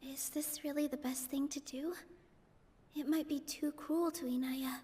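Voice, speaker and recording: A woman playing a gentle, earnest young theater dancer in an anime game. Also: sits high, fairly even intonation, unhurried, slight background noise. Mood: hesitant.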